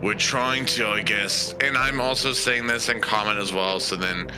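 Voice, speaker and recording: A man playing a tabletop roleplaying game, narrating his character's moves and lines in a halting, think-aloud way. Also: lowish voice, steady tone. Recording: music playing behind. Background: background music.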